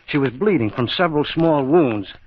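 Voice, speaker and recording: An actor playing a cold, murderous estranged husband in a radio mystery, speaking with formal, suave politeness. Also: male voice, fairly high pitch, expressive, moderate pace. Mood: smooth, feigned composure. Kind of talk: vintage radio mystery acting. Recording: dull lo-fi radio recording.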